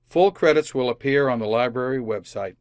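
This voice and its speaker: A man's voice: mid-range voice, a little fast, clear, resonant tone, no echo, clean studio sound. A man reading scripted closing credits as the announcer of a radio drama. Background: music.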